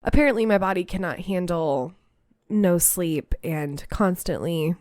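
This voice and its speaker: Woman, podcast host, slightly nasal, lowish voice, dry close mic. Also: measured pace, darkish tone, no echo. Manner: laughs easily, chatty and upbeat. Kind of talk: casual podcast chat and reading aloud.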